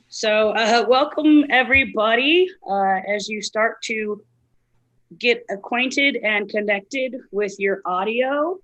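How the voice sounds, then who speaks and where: Hoarse woman, event host, chatty with lots of ums, mid-pitched voice. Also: a bit bright, dull audio. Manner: chatty, filler-heavy. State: hoarse, lost voice. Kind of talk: casual chat. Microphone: video call.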